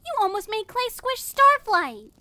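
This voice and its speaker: Tiny squeaky young dragon. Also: female voice, big pitch swings, a little slow, sharp tone, dry recording, full character voice. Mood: squeaky, scolding. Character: small, sweet, eager young dragon. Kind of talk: cartoonish fan audio drama.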